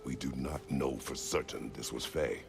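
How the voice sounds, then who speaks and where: An actor playing a stern, brooding older warrior father in a video game, speaking in terse, grave phrases with a very deep, gravelly voice. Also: normal speed, full character voice. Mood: stern, guarded. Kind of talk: video game drama acting. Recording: music behind the voice.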